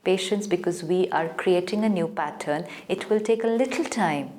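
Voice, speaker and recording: A woman with an Indian English accent, calmly explaining spiritual ideas in a gentle, measured way as the teacher on a TV talk show. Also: fairly low pitch, slight background noise. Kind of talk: calm spiritual guidance in a TV talk.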